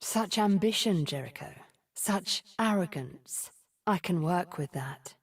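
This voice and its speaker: An actress voicing a cunning, imperious sorceress in a video game, breathy and taunting, sinking toward whispers. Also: female voice, lowish voice, lively intonation, takes it slowly, full character voice. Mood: amused, scheming. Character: cunning, imperious female sorceress, scheming and menacing. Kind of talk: video game character voice lines.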